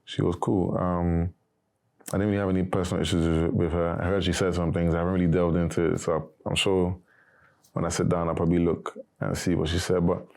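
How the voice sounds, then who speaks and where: A young man, a former reality show contestant, answering interview questions in a casual, monotone way. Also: low-pitched, a little fast, gravelly. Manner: monotone, not very animated.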